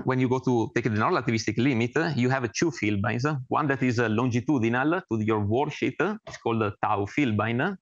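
A man from Italy with an Italian accent gives an informal research presentation as an academic, speaking haltingly with many filled pauses. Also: mid-range voice, fairly bright tone, dry recording, muffled recording. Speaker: academic researcher introducing himself and his work.

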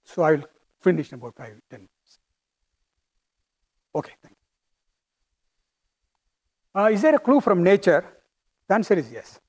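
A man with a strong Indian accent lectures on physics in a high voice. He is middle-aged and talks in a halting, thoughtful way, with fillers and restarts as he works through his ideas. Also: big pitch swings, a little mellow. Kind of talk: academic lecture.

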